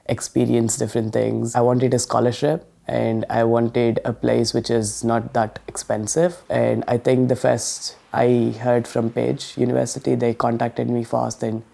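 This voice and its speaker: Flat-voiced Indian man, gravelly. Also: medium pitch, unhurried, few pauses. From India, Bangalore. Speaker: international student interviewee. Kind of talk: documentary interview. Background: clear guitar music.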